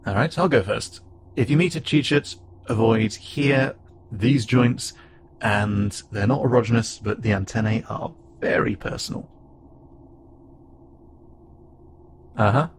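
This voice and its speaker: Man playing a gravelly, casual alien spacefarer. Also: fairly low pitch, heavy background noise, lightly acted. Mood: curious, awkward. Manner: casual, halting, gently wry. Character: friendly alien spacefarer with antennae, curious, protective, a bit awkward. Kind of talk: conversational audio roleplay acting to an unheard listener.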